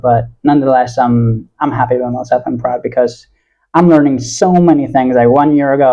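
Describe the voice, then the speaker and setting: A man's voice: fairly high pitch, dark tone, lo-fi sound. A young man with an American accent talking casually and earnestly to his viewers in a rambling, self-correcting monologue. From Italy. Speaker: YouTube vlogger.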